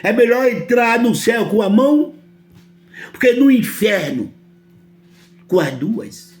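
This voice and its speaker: A man's voice: very high-pitched, expressive, slow, faint music behind. A man from Brazil with a Brazilian Portuguese accent, preaching a sermon emphatically and often asking his listeners whether they understand.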